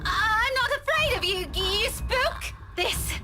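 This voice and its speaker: A man voicing a frightened but defiant woman in a horror game, with emphatic, drawn-out delivery. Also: extremely high pitch, lively intonation, pitched above the performer's own voice, full character voice. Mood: defiant, shaken. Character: defiant, frightened Scottish woman facing down a ghost. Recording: game music behind the voice.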